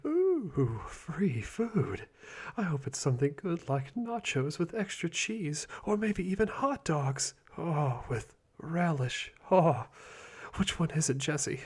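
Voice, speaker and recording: A flamboyant cartoon villain sidekick in an ASMR roleplay. His husky, breathy voice swoops up and down dramatically, vain and petulant with a childish enthusiasm. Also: a little high, very animated, takes it slowly, heavily acted. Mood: greedy, excited.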